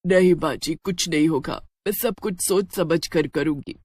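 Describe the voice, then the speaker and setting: A woman's voice: low-pitched, moderate pace, darkish tone, slightly rough. A woman playing a determined, earnest village witch devoted to her family in a cartoon story. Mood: reassuring.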